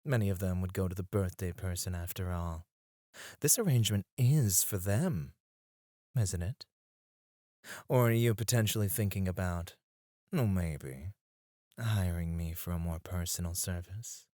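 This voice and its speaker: A man playing a suave, flirtatious club escort in an intimate ASMR roleplay, teasing and breathy, close to the mic. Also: low voice, dark tone, dry recording. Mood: flirty, teasing. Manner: slow, teasing, seductive, often dropping to a breathy murmur. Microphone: close studio microphone, dry.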